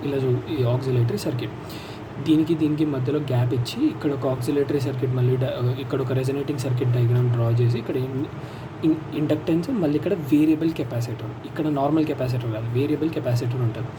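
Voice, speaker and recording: A man's voice: mid-range voice, fairly even intonation, very fast. A man with an Indian accent giving an informal explanatory lesson as a lecturer, repeating and rephrasing key points step by step. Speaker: physiotherapy lecturer. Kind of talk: informal explanatory lesson, mixing English terms into Telugu. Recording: distant mic, loud background vehicle noise.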